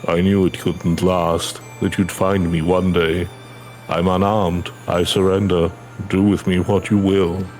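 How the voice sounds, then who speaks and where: A man's voice: sits low, little pitch movement, unhurried, a little mellow, faint music behind. A man voicing a weary, earnest former soldier from a fantasy game, plain-spoken and subdued. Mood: resigned, confessional. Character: weary, earnest male ex-soldier in hiding, resigned. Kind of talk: video game dialogue read aloud.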